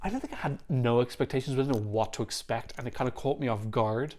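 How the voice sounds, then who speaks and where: Excitable man reacting to songs. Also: mid-range voice, fast. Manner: chatty and excitable, swears casually. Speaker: Eurovision reaction YouTuber. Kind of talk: casual reaction commentary. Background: faint music playing.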